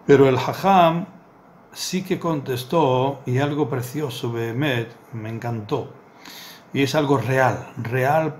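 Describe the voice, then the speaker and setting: A man's voice: mid-range voice, takes it slowly, slight background noise. A middle-aged man, a rabbi, giving a Torah lesson in a small study. He tells a parable earnestly and repeats words for emphasis, recorded on a desk webcam. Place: small indoor study with bookshelves.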